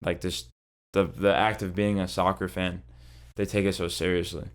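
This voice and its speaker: A young man with a very deep, low voice talks as a podcast guest. His delivery is loose and easygoing, peppered with slang and casual swearing, as he shoots the breeze with friends. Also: little pitch movement, moderate pace, clean tone. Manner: laid-back, swears often, lots of "like" and "bro". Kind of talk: casual chat.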